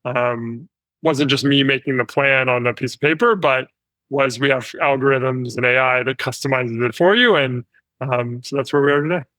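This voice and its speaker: An American man, a company founder, telling travel stories as an interview guest with lively delivery and frequent fillers. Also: mid-range voice, moderate pace, dry recording, rough recording. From United States. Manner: animated storytelling with frequent fillers. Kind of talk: conversational interview.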